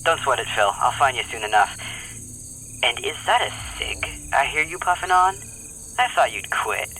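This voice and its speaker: An actor playing an easygoing, teasing detective in an audio drama, casual and folksy. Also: male voice, medium pitch, lively intonation, normal speed, pushed and bright, slight background noise, rough recording. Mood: reassuring, teasing.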